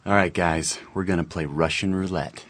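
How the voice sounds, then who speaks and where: A callous young ringleader in a cartoon comedy, played by a man, with a fairly low voice. He goads and commands his friends like he owns the place. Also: steady tone, moderate pace, a bit bright. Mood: goading. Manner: goading, commanding. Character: bossy, callous young man hosting a sleepover. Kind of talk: animated dark comedy dialogue.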